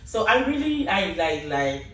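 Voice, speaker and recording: A woman's voice: lowish voice, big pitch swings, unhurried, fairly bright tone. A woman hosting a casual talk show, exuberant and sing-song, laughing often. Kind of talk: casual chat. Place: indoor room with a sofa. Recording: echoey room, distant mic, faint hiss.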